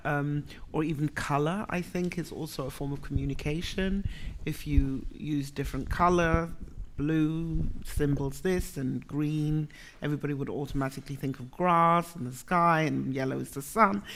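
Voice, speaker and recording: A middle-aged woman from the Caribbean who grew up in Germany, speaking as a professor on a lecture panel in a reflective, animated way, laughing easily. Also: low voice, slight background noise. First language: English, Caribbean variety. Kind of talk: panel discussion.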